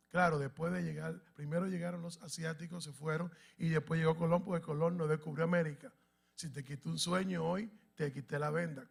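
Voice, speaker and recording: Emphatic high-voiced pastor. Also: male voice, gravelly, pushed and bright. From Dominican Republic and Puerto Rico. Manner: emphatic, asks the congregation questions. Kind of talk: sermon teaching to a congregation.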